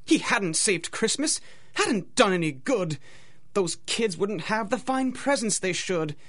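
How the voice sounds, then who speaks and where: A woman's voice: mid-range voice, expressive, a little slow. A woman narrating a scripted rhymed adventure story, with a dramatic, singsong rhythm.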